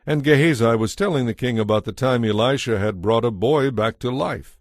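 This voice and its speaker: A man's voice: medium pitch, brisk, dry recording, studio quality. A man reading scripted narration for an audio Bible.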